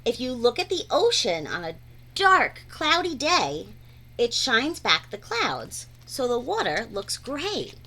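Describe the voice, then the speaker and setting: A woman reading a rhyming children's picture book aloud in an expressive, deliberate, sing-song way. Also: fairly high pitch. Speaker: read-aloud storyteller.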